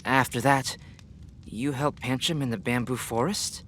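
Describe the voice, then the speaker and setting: An American man playing an earnest, inquisitive teenage boy detective in a video game, talking in a measured, questioning way. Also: mid-range voice, noisy background, close to an everyday voice. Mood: doubtful.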